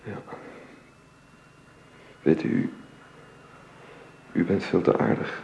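A man's voice: drawn-out words, warm tone. An actor playing a middle-aged, formally polite and remorseful husband and father in a radio drama, hesitant and stammering. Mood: contrite. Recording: dull, roomy, noisy radio drama recording.

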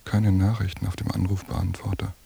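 An actor playing a tired, lonely night-surveillance agent in a radio drama, giving a detached, deadpan inner monologue in a husky, gravelly voice. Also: male voice, low-pitched, moderate pace, exaggerated voice. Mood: weary, desolate. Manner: flat, deadpan, clipped phrases.

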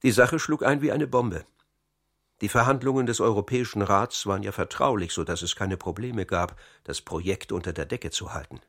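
A husky-voiced conspiracy insider. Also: male voice, a bit bright. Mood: sober. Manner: measured, sober account, dropping low on key points. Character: man confessing an insider conspiracy, calm. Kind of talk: radio drama, confessional narration.

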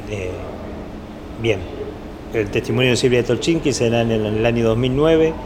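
A man speaks at a medium pitch, explaining and giving testimony. The recording is very roomy, with long reverberation and clear noise around him. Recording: noisy, echoey hall with long reverb.

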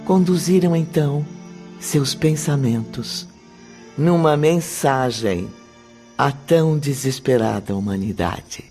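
A man's voice: medium pitch, slow. A man narrating a radio drama from a script in a solemn, poetic delivery. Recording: prominent music under the voice.

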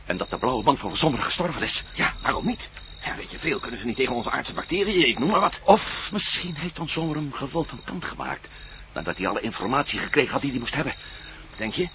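A man's voice: mid-range voice, sing-song, fast talker, fairly bright tone. A man acting a wary, wry adventurer in a Dutch radio play, with a Dutch accent. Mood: pondering. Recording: noisy old radio drama with outdoor ambience.

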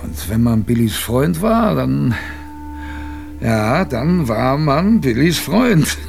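An actor playing a gruff but fond old sailor grandfather, telling his memories in a salty, colloquial radio-play manner. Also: medium pitch, very animated, a little mellow, soft background music, heavily acted. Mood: wistful reminiscing. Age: older. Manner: colloquial, salty storytelling with musing pauses. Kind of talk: radio drama first-person narration.

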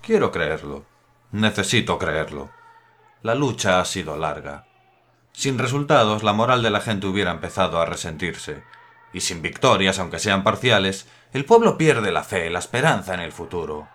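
A man's voice: sits low, lively intonation, normal speed, fairly bright tone. An actor in a radio drama playing an idealistic young republican man, speaking earnestly and with passion. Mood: hopeful. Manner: earnest, impassioned.

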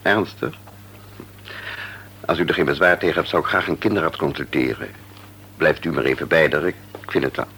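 An actor playing a calm, kindly family doctor in a Dutch radio play, with a reassuring, businesslike bedside manner. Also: male voice, rumbling low, a little fast, pauses often, exaggerated voice. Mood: serious, concerned. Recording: noisy old radio play recording.

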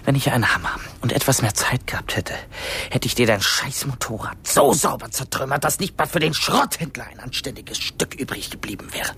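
An actor playing a defiant, foul-mouthed teenage boy in a radio drama, husky and breathy, with an insolent, taunting manner. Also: mid-range voice, animated, brisk, bright, forceful tone, a little hiss or hum. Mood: cocky defiance.

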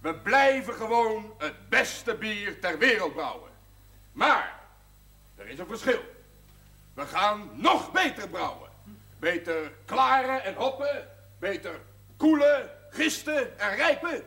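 A man's voice: sits high, big pitch swings, slow pace, sharp tone, exaggerated voice. An actor playing a proud, jovial brewery man giving a declamatory, folksy speech to the workforce in a television drama. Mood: proud, rousing. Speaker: actor in a Dutch television series. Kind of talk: celebratory speech to assembled brewery staff.

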